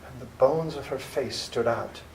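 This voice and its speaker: A man narrating journal entries as a worried young doctor in a live radio play, measured in narration and anxious in scenes. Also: mid-range voice, a little mellow, natural voice. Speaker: narrator and lead actor. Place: library hall with live audience. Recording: echoey hall with faint room noise.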